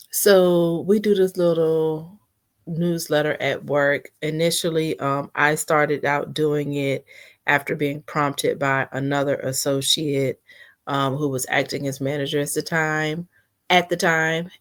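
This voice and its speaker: A woman chatting casually in a rambling, unscripted vlog, sounding a little congested, on a built-in computer mic. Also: low voice. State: mildly congested, sniffly. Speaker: vlogger practicing for a podcast. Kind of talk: casual personal vlog chat. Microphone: built-in computer mic, no external microphone.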